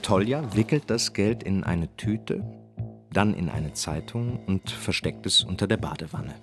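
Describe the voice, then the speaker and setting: A man's voice: deep voice, lively intonation, unhurried. A man narrating a German radio drama in a sober, laconic storytelling style. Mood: matter-of-fact. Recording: music under the narration.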